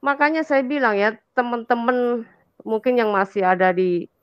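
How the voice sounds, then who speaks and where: A woman's voice: a little high, moderate pace, clear, resonant tone, dry recording, highs cut off. An Indonesian woman in an impassioned, conversational religious discussion, emphatic and colloquial, repeating phrases and checking for agreement. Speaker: talk show speaker on religion.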